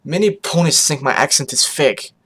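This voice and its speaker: A man's voice: on the high side, expressive, moderate pace, fairly bright tone, a little hiss or hum. A man acts out a flamboyant vampire pony mother from a storybook reading. She speaks with a foreign accent. Her delivery is grand and emphatic, like a fashion celebrity holding court. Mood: matter-of-fact.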